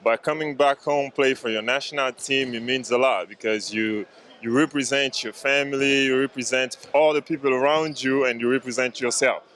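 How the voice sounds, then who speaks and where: Male pro basketball player with a mid-range voice and halting, filler-heavy answers. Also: mid-range voice, steady tone, a bit bright. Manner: halting, many fillers and restarts. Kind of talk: interview answers.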